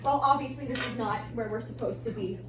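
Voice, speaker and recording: Woman playing a haughty, high-voiced exasperated ex-wife. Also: a little fast, background noise, close to an everyday voice. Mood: haughty, baffled. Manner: exasperated, snobbish, clipped complaints. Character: haughty, indignant divorced professional woman, thirty-nine. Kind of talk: staged musical comedy reading. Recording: roomy distant stage recording, loud music.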